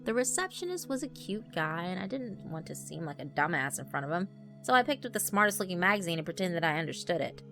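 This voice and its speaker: A woman narrates a first-person story in a wry, conversational, expressive way. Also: fairly low pitch, fast. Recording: background music under the voice. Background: background music.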